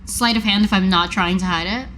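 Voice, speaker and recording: A woman's voice: medium pitch, brisk, sharp tone, slight background noise, low-quality recording. A woman playing a tabletop role-playing game, speaking casually but in an assertive, insistent way. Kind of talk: casual banter and improvised role-play.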